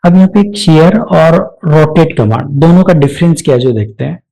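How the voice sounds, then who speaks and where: A man with an Indian accent narrating a step-by-step software tutorial, explaining each action aloud as an instructor. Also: fairly high pitch, expressive, moderate pace, gravelly, rough recording.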